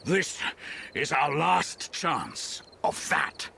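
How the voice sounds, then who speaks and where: An actor playing a grave, weary man entrusted with an old secret in a game, speaking solemnly and deliberately. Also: fairly high pitch, very animated, vocal fry, pushed and bright, a little hiss or hum, full character voice. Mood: grave, urgent.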